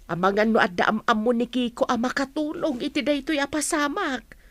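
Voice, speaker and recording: A woman's voice: high voice, fairly quick, dry recording, close to an everyday voice. A woman playing a cautious, questioning village mother in a radio drama. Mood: concerned. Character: wary village mother advising her family. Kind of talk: Ilocano radio drama acting.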